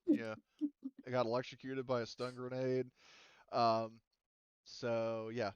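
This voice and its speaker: Clear-voiced man running a tabletop game. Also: medium pitch, little pitch movement, slow pace, no room sound. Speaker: game master. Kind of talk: casual talk running a tabletop roleplaying game. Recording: slightly dull, dry close microphone.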